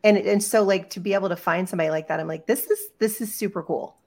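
A woman's voice: mid-range voice, quick pace, darkish tone. A woman co-hosting a podcast, talking with a guest in a chatty, enthusiastic way and laughing easily. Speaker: podcast co-host. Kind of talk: casual conversational interview.

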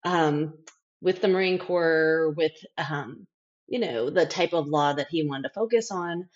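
A middle-aged woman giving a candid interview in a conversational way, with halting phrasing and thoughts that trail off, on a lo-fi recording. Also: sits low. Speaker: interview guest, former overseas aid worker.

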